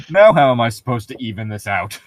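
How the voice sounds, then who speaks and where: A man's voice: mid-range voice, no room sound. A man doing casual, mocking read-along commentary as a show host, jokey and profane as he rambles. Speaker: host of a comedic book-reading show.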